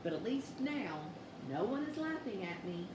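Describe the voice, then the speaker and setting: A woman's voice: medium pitch, sing-song, normal speed, echoey room, recorded from a distance, heavy background noise, close to an everyday voice. A woman voicing an earnest, wistful young male polar bear in a storybook, hesitant and polite. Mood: weary, self-pitying.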